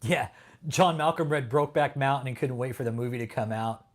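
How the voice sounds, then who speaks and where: Guy from california on a livestream, mocking some troll in chat, swears a ton, mid pitch. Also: brisk. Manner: taunting, profane, laughs at chat. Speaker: livestream host.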